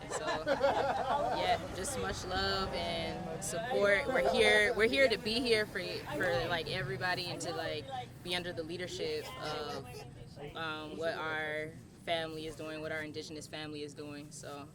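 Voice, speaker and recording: Halting American man over heavy crowd noise. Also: on the high side, fairly even intonation. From Minneapolis, United States. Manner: halting, searching for words. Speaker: visiting supporter at a protest camp. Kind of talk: informal on-camera interview answer. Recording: outdoor crowd noise with vehicles.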